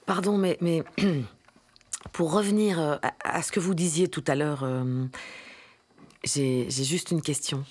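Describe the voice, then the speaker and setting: An actress playing a naive, timid employee in a satirical radio drama, speaking haltingly and deferentially, as if asking permission. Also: female voice, deep voice, darkish tone, breathy edge, full character voice. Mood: hesitant, uneasy. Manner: hesitant, halting, deferential, questioning. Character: timid, naive female employee being coached.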